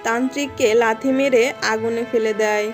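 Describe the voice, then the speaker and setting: A woman narrates a children's fairy tale from a script. Her voice is high, with faint music underneath. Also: steady tone, moderate pace. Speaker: story narrator.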